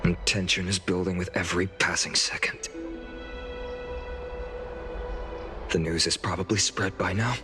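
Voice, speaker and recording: An actor playing an earnest, worried hero in a video game, speaking directly. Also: male voice, low-pitched, steady tone, brisk, music in the background, lightly acted. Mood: tense.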